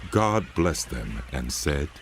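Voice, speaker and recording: A man with an extremely low voice narrates scripture as a solemn drama. His delivery is slow and deliberate, with sweeping rises and falls, set over clearly audible music. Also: very animated.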